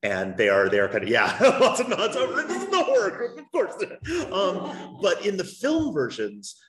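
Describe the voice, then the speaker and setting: A man's voice: high voice, huge pitch swings, moderate pace, a bit bright. A man on a discussion panel, talking in an earnest, considered way. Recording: echoey room, faint noise.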